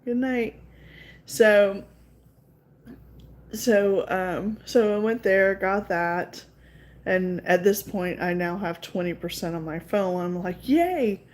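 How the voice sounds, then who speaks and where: A woman's voice: mid-range voice, slow. A woman with a Southern accent, casually chatting with her viewers on a livestream in a rambling way, full of fillers. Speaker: livestream host.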